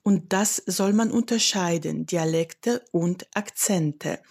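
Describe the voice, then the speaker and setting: Polish-accented woman voice coach, unhurried and instructive, slightly breathy tone. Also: fairly low pitch, darkish tone. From Poland. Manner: measured and instructive, demonstrates examples. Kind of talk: slow, deliberate expert explanation.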